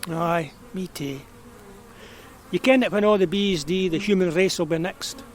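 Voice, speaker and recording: A man playing a frail, grieving old Scottish beekeeper, gruff but whimsical, musing in broad dialect in an audio drama. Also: high-pitched, a little slow, slight background noise. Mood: grieving, bleak. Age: older. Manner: musing, rambling, dialect-rich.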